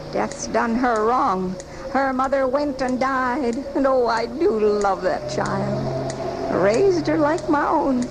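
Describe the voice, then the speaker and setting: An actress playing a feisty, fretful frail old American spinster who scolds in folksy, indignant style in a live radio drama. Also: female voice, high-pitched, heavily acted. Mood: pleading, wistful. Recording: noisy amateur off-air radio recording from 1984.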